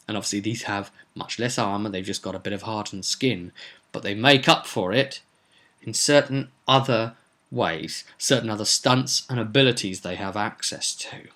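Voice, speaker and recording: A man's voice: fairly low pitch, fairly bright tone. A man talking casually as a game master, recapping a roleplay session in a rambling, conversational way with some dramatic emphasis. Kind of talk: casual vlog recap to viewers.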